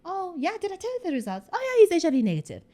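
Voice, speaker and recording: A woman's voice: very high voice, wildly swooping pitch, racing, dark tone. A South African woman in her thirties, a guest telling her personal story in a candid conversation, acting out the voices of people she quotes. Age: 30s.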